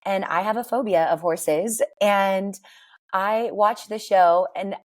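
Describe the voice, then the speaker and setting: A woman in her forties with a raspy voice, chatting and telling stories as a podcast guest, laughing often. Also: medium pitch, slow pace. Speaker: guest, worship singer. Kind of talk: casual interview chat.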